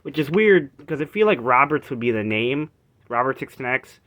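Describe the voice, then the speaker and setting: A man's voice: medium pitch, animated, normal speed, fairly bright tone. Man, mid-range voice, casual product walkthrough, rambling and tentative, phone recording. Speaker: vlogger showing vintage reel-to-reel tape recorder. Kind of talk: casual unscripted demonstration. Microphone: handheld phone camera.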